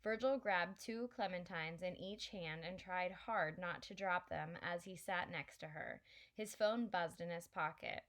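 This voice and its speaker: A woman narrating a children's novel aloud in a clear, steady storytelling voice. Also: on the low side, a little slow. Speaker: audiobook reader.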